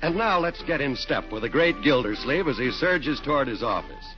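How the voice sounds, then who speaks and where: A man's voice: medium pitch, expressive, normal speed. A man announcing on 1940s radio with emphatic, upbeat sponsor delivery. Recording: muffled old radio recording. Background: music.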